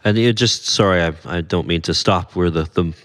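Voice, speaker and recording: A man's voice: low voice, normal speed, a bit bright. A man speaking as a guest on a podcast in casual chat, earnest and sincere, often repeating words as he works out his thoughts.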